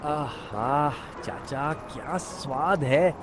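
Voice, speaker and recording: A man's voice: fairly high pitch, extremely slow, noisy background. A man playing an enthusiastic, admiring young village customer in a cartoon story. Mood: delighted. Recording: music under the voice.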